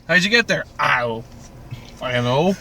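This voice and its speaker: A man's voice: on the high side, expressive, moderate pace, gravelly, bright, forceful tone. A man from Canada co-hosting a podcast, chatting casually about TV in an exasperated, sweary, ranting way. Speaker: podcast co-host. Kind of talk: casual TV recap chat.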